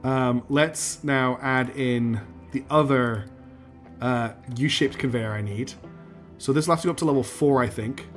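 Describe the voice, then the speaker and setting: Man at mid pitch, game streamer, thinking aloud, counting steps, a little hoarse from losing his voice, soft music behind. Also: measured pace. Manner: talks himself through building steps, counts out loud, asks himself questions; answers chat messages as he plays. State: losing his voice, clearing his throat. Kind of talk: live gameplay commentary, thinking aloud.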